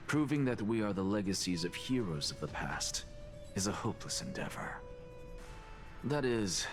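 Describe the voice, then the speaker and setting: Low-pitched, haughty scholar. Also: male voice. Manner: haughty, measured, erudite diction. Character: proud, aloof male scholar, dismissive and stubborn. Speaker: video game voice actor. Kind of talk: scripted video game voice acting.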